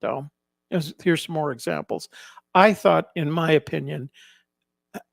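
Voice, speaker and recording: Male vlog host, casual halting explainer, crackly fry in the voice, very dry close sound. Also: fairly high pitch, dry recording. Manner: halting, explanatory, repeats and rephrases. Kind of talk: casual narrated photo commentary.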